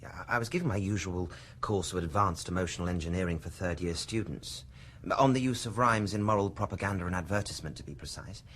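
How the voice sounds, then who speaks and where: A man's voice: low voice, fast, hardly any pauses, fairly bright tone, a little hiss or hum. An actor playing a thoughtful, self-mocking young male lecturer and writer who hesitates as he talks, in a radio drama.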